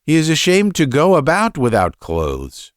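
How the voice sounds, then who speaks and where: A man puts on a timid middle-aged mother from a storybook. The voice is raised high and lilts up and down as she pleads, fretful and stammering, with tactless persistence. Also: huge pitch swings, measured pace, no echo, clean studio sound. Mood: pleading, fretful.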